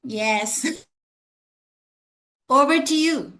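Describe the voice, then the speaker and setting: A woman's voice: sits high, drawn-out words, bright, forceful tone. A woman hosting an online talk session in a courteous, formal manner. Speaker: host of a virtual talk session. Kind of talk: polite hosting of a panel. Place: online video call.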